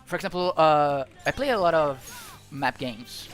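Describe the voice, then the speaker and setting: A man's voice: on the high side, normal speed, soft background music. A young Brazilian man with a Brazilian Portuguese accent, a native Portuguese speaker, chatting casually while streaming a game, rambling and thinking aloud with frequent restarts. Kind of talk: casual gameplay commentary.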